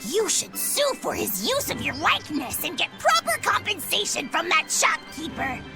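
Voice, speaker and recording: An actress voicing a small, vain, excitable creature in a video game, brash and exclamatory. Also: female voice, very high voice, normal speed, loud music behind the voice, heavily acted. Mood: cheeky, smug. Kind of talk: English game voice acting.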